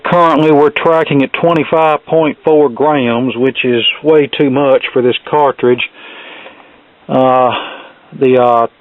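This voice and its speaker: A man's voice: medium pitch, no echo, phone-quality sound. A man talking casually and off the cuff in a folksy way as he narrates hands-on repair work. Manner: folksy, unscripted. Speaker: repair channel host.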